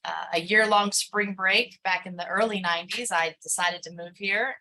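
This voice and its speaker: A woman speaks on a video call as a panelist, her voice bright and forward while sitting a little low in pitch. She talks in a halting way, filling gaps with frequent ums and uhs. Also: low-quality recording. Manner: hesitant, many fillers. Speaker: grant writer, panelist.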